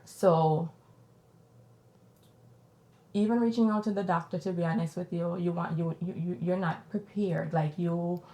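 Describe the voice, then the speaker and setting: A woman's voice: low-pitched, warm tone, echoey room, rough recording. A Jamaican woman hosting a podcast, telling a personal story in a candid, reflective way. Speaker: podcast host.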